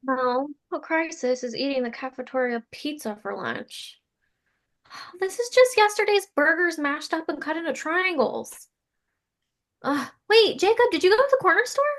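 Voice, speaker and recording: An earnest young woman teacher, played by an actress in a sitcom read. Her voice is high and bright, with an eager, flustered edge. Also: sing-song, measured pace, heavily acted. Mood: exasperated.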